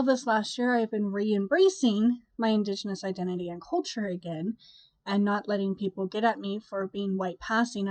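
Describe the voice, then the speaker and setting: A young woman talks to her viewers in a loose, rambling way, her voice stuffy and nasal from a cold. She sits at a middling pitch, and the sound is close and dry with no room around it. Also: darkish tone, dry recording, rough recording. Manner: chatty, rambling, with sighs and laughs. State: congested from a cold. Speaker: vlogger. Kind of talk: casual chat to camera.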